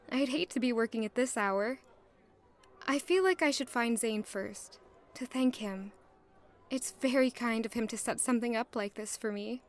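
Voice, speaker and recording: A woman playing a modest, gentle young lady in an audio drama, polite and earnest, at times narrating in first person. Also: a little high, lightly acted.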